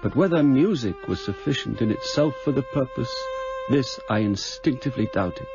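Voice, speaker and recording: An actor playing a sober English gentleman who narrates a radio drama in measured, literary phrases. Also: mid-range voice, animated, creaky, music in the background. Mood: sober, reflective. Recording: slightly dull, dry radio recording.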